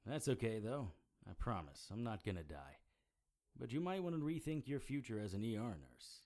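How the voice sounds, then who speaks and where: An actor playing a wry, hard-boiled supernatural investigator in an audio drama, with deadpan, sardonic noir delivery. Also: male voice, on the low side, moderate pace. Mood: teasing, dry.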